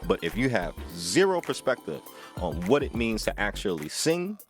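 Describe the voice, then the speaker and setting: A man's voice: fairly low pitch, very animated, moderate pace. A man giving an informal music tutorial, talking emphatically, repeating phrases and often checking in with a short questioning tag. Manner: emphatic, repeats phrases, seeks agreement with "right?". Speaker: music tutorial host, talkbox player. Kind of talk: informal instructional talk to camera.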